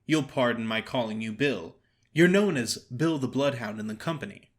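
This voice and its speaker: A man's voice: medium pitch, animated, moderate pace, pitched below the performer's own voice, close to an everyday voice. A man playing a breezy, cajoling theatrical fellow who talks in an emphatic, teasing, persistent way for an audio drama. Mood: teasing, indignant.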